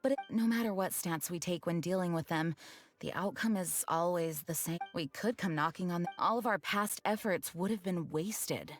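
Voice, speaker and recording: A woman playing an earnest, dutiful young knight commander in an anime video game, speaking in a measured, formal way. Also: fairly low pitch, lightly acted. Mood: serious, concerned. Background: background music.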